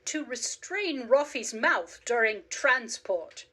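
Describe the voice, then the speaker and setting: A woman's voice: a little high, expressive, slow, sharp tone, husky, exaggerated voice. A woman playing a brash, determined, reckless woman zookeeper in a radio play, with an emphatic, theatrical delivery. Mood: brash, confident.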